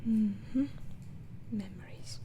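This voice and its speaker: A woman whispering in an ASMR roleplay as a doctor interviewing a patient, hesitantly asking questions and repeating answers back in a breathy voice. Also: medium pitch, very slow, warm tone, slight background noise. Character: gentle, inquisitive female mental asylum doctor.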